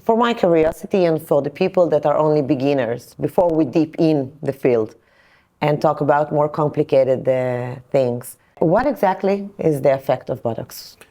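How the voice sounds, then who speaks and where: A woman with a deep, mellow voice hosts a podcast interview. She talks in a conversational way, framing questions for a guest and the audience. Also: normal speed.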